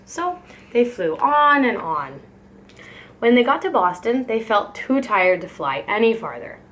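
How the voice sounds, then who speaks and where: Preschool teacher lady reading a picture book, highish voice, really animated. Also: slight background noise. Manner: expressive, clearly paced for young children.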